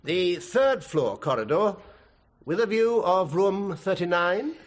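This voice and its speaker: An actor playing a fussy, proper, hesitant prosecuting barrister with an English accent in a radio drama. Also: male voice, high-pitched, animated, slow. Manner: hesitant, formal.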